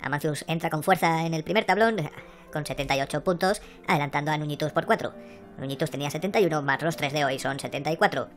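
A man voicing a hoarse, flustered female assistant, who rambles and then reads out rankings. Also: fairly high pitch, fast talker, fairly bright tone, soft background music, voice pushed up, close to an everyday voice. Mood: reporting. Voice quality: hoarse, croaky.

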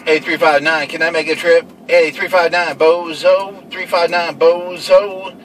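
A man's voice: on the high side, little pitch movement, unhurried. A man calling out on CB radio in a playful, repetitive way from inside a vehicle. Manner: playful, repetitive call-outs. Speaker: CB radio operator. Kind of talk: CB radio calling chat with repeated handles. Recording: distant mic in noisy vehicle.

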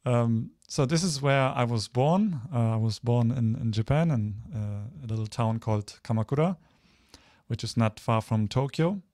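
A man's voice: mid-range voice, moderate pace, darkish tone, no room sound. Man in his thirties, dry close sound. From Germany. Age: 30s. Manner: hesitant, searching for words. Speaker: guest speaker, photographer. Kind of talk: informal online slide presentation.

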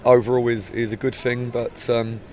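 A man in his forties, a football manager with an English accent, giving a measured, hesitant post-match interview outdoors by the pitch. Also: flat delivery, mellow, a little hiss or hum. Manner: measured, hesitant, many restarts. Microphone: handheld mic held up close.

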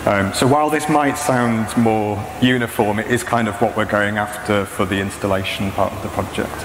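Male co-presenter, lowish voice, measured and explanatory, echoey hall with clear background noise. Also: fairly even intonation. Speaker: co-presenter, technical collaborator. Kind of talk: informal conference talk. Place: stage in a large presentation tent or hall.

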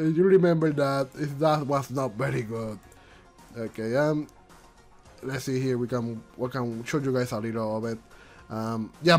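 A man hosting a casual commentary vlog, stuffy and congested from allergies, rambling and restarting his sentences, with English that is not his first language. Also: on the high side. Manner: rambling, repeats himself, frequent restarts. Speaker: channel host.

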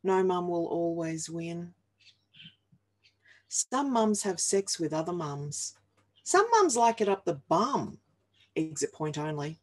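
Slow-paced Australian woman reading aloud. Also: on the low side, very animated, a little mellow. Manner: deliberate, wry, playful. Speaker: reader of a humorous picture book. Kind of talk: expressive read-aloud of comic rhyming verse. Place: small home office, indoors.

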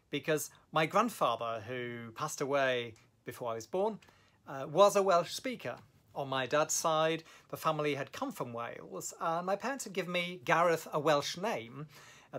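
A middle-aged man recounting his language learning in a thoughtful, measured way, with fillers and self-corrections, filmed in his home study. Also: fairly high pitch, a bit bright. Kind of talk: reflective personal storytelling to camera. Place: home study with bookshelves, indoors. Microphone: camera at desk distance.

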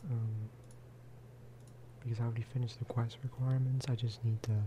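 A man giving casual, rambling ASMR game commentary, speaking softly and often slipping into whispers. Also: mid-range voice, flat delivery, fairly quick, a little hiss or hum. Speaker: gaming video host.

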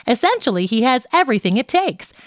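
A woman narrating a scripted nonfiction audiobook in a measured, articulate way. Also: a little high, very animated, dry recording, studio quality.

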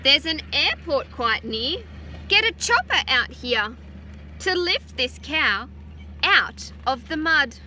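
An Australian woman in her thirties, a teacher gently reading a picture book aloud to children outdoors on a farm, adding chatty asides. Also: sits high, big pitch swings, slow, a little hiss or hum. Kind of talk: children's story time read-aloud. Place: outdoors on a farm beside a utility vehicle. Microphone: phone camera held close.

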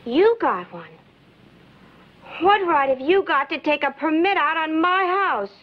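An indignant young college woman who fires back sharp, scolding retorts. Her voice is high. The recording is a dull 1960s film soundtrack. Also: lively intonation, unhurried. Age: young adult. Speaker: college student.

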